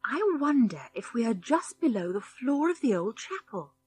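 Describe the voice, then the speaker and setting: A woman's voice: a little high, sing-song, moderate pace, a little mellow, slightly rough. A British woman voicing an earnest, responsible schoolboy who leads a gang of children, grave, anxious and well-spoken, in a storybook reading. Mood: thoughtful.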